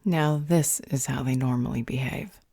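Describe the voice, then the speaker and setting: A woman's voice: rumbling low, normal speed, vocal fry, dark tone, breathy edge, dry recording, full character voice. A woman playing a level-headed, wry ally in a fantasy roleplay audio drama, dry and composed.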